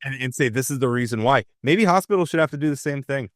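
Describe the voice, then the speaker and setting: A man's voice: medium pitch, expressive, fast talker, no room sound. Opinionated man, dry studio sound. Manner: opinionated, emphatic, many restarts. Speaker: co-host. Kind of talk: political talk show discussion.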